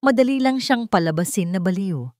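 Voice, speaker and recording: A woman's voice: medium pitch, lively intonation, brisk, darkish tone, no echo, clean studio sound, close to an everyday voice. A woman with a Filipino accent voicing a cold, calculating, cruel female schemer in a story, with scornful delivery.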